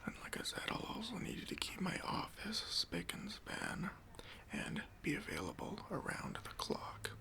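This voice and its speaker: A man playing a stingy, demanding boss in an ASMR roleplay, speaking in a breathy, hesitant whisper with condescending politeness, close to the microphone. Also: high voice, huge pitch swings, moderate pace, bright, forceful tone, exaggerated voice. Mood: smug, officious. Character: stingy, demanding male boss giving a job interview.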